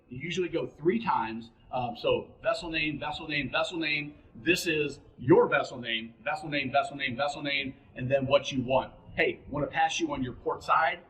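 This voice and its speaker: A man's voice: fairly high pitch, a bit bright, roomy sound, low-quality recording. A man giving informal, practical boating instruction, speaking plainly and directly to the listener as an instructor.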